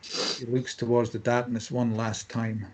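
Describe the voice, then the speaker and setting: A man's voice: mid-range voice, level tone, unhurried, heavy background noise. A man reading stage directions as scripted narration for a drama table read.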